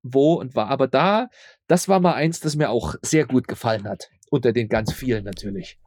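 A man's voice: medium pitch, moderate pace, a little mellow, dry recording, studio quality. A man being interviewed as a radio play maker, chatting casually and often repeating words for emphasis.

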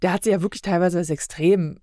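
A woman's voice: fairly low pitch, steady tone, quick pace, warm tone. A woman talks casually as a guest in a review discussion. She thinks aloud and hesitates as she searches for her wording. The sound is dry, with no room echo. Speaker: podcast guest.

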